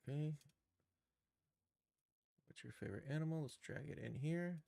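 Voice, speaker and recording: A man's voice: a little high, moderate pace, gravelly, warm tone, no echo. A man casually narrating his own steps in an on-screen tutorial, thinking aloud in short muttered remarks. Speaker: game developer streaming a tutorial.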